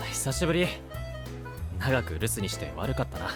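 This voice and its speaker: Man as an easygoing young knight, lively intonation. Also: medium pitch, normal speed, music in the background, natural voice. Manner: casual masculine speech, relaxed and straightforward. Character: young male knight, easygoing, frank, brotherly. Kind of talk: Japanese anime-style voice drama.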